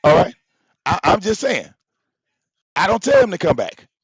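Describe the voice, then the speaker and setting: A man hosting a live stream, delivering a loud, profane, confrontational rant full of rhetorical questions. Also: high voice, big pitch swings, moderate pace, slightly rough, no echo. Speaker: livestream host. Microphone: popping mic. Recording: dull, slightly lo-fi, dry sound.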